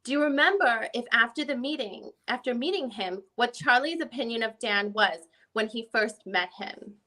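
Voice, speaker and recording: A female lawyer read by a woman questions a witness in a fairly high voice. She is formal and methodical, working through a deposition one question at a time. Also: animated, moderate pace, pushed and bright, poor audio. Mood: probing, methodical. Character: probing female defense attorney questioning a witness. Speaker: reader in an online dramatic reading. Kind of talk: reading a deposition transcript aloud.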